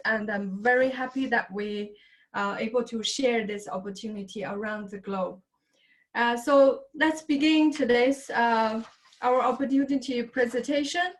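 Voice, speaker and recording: Woman hosting a video call, high voice. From China. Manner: friendly, unhurried, with many fillers. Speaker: host of an online business presentation.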